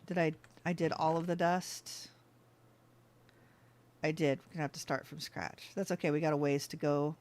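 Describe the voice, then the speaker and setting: A let's-play host talks through a mining game in easy, chatty commentary. They think aloud, ask themselves questions and answer them. The pitch sits in a plain middle range. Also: steady tone, normal speed, pauses often, a little mellow. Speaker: gaming channel host.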